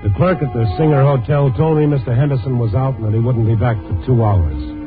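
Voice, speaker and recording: An actor playing a wry, sympathetic newspaper reporter, narrating a 1950s radio drama in a measured way. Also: male voice, medium pitch, close to an everyday voice. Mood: wry, reflective. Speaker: lead and narrator. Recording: muffled 1950s radio recording with music.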